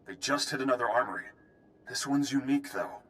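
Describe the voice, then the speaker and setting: Man voicing game prison officer, gruff, brisk military delivery, bright forceful tone, mid pitch. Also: close to an everyday voice. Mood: brisk, businesslike. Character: gruff, duty-bound male prison station warden officer. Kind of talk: video game voice acting.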